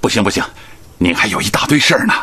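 A man's voice: fairly low pitch, very animated, rapid-fire, sharp tone, husky, no echo, full character voice. A man acting a genial, busy hospital director in a Chinese radio drama. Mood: genial, businesslike.

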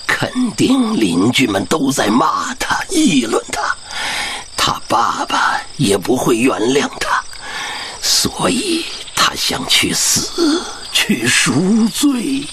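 An actor playing an old African village chief and doting grandfather in an earnest, expressive radio drama. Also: mid-range voice, slow pace, background noise. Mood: distressed. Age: older.

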